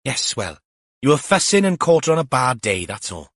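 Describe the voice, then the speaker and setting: Man putting on a sing-song, chatty older woman, pitched up. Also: very animated, normal speed, fairly bright tone, no room sound, clean studio sound. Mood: defensive. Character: chatty, protective older woman neighbour, slightly coy gossip.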